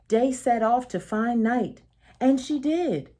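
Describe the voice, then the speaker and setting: A woman reading a children's picture book aloud as a storyteller, expressive and deliberate, with emphatic phrasing and soft asides to the listeners. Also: fairly high pitch.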